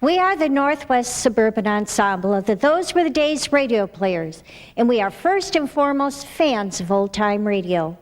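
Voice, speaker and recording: A woman's voice: fairly high pitch, expressive, moderate pace. An older American woman reading scripted, nostalgic narration as presenter of a radio ensemble. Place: small studio room, indoors. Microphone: stand microphone.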